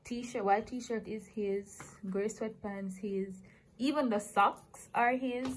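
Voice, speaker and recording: A woman's voice: mid-range voice, steady tone, slow, rough recording. A woman in her early twenties with a Jamaican accent, chatting casually as a vlogger into a phone. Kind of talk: casual vlog chat. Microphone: phone, handheld or propped nearby. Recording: faint background noise on phone recording.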